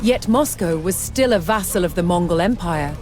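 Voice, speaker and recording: Woman doing measured history narration for a strategy game, documentary style, music going behind her. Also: on the low side, animated. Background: background music.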